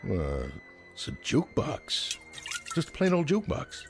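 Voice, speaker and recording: Glib, animated city bartender. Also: male voice, on the high side, a little slow, creaky, soft background music, exaggerated voice. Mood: nervous, placating. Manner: slangy, glib, placating. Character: wisecracking city bartender, nervously placating a gunman. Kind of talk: television drama acting.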